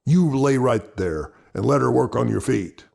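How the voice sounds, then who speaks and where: A man voicing a gruff but loving army captain who gives orders, in an audiobook. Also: mid-range voice, expressive, normal speed, darkish tone. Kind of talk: audiobook character dialogue.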